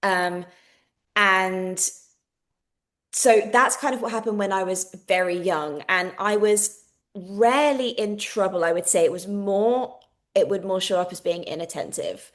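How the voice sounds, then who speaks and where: A woman's voice: mid-range voice, measured pace. A woman, a guest on a podcast, reflecting openly on her childhood and diagnosis. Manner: open, reflective, many fillers. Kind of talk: candid personal account.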